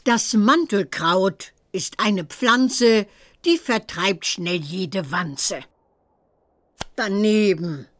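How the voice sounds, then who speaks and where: A woman voicing a stern old witch examiner who teaches herbalism in a children's computer game, theatrical and deliberate. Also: medium pitch, animated, fairly bright tone, a little hiss or hum. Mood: theatrical, teasing.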